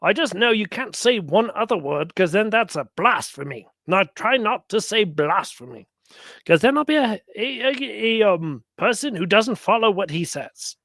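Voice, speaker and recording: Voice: mid-range voice, sing-song, moderate pace, a bit bright, no echo, clean studio sound. A commentator giving sarcastic religious reactions to clips, mocking and often acting out imagined exchanges.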